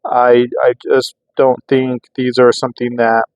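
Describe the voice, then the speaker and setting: A man's voice: medium pitch, little pitch movement, a little slow, clear, resonant tone, dry recording. Casual American man vlogging, dry close sound. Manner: rambling, informal, thinking aloud. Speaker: vlogger testing radio gear. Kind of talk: casual unscripted vlog narration. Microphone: clip-on microphone.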